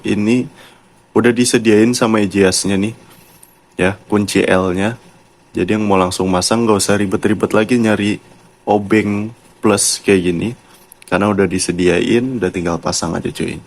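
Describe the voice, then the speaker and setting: A man with a colloquial Jakarta Indonesian accent, giving a casual, chatty product review and addressing viewers like mates. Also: lowish voice. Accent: Jakarta-style colloquial Indonesian. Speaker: YouTube motovlogger and product reviewer.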